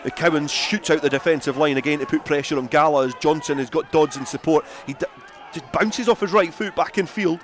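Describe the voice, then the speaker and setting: A man's voice: fairly high pitch, brisk, hardly any pauses. A man giving live radio play-by-play rugby commentary from an outdoor ground.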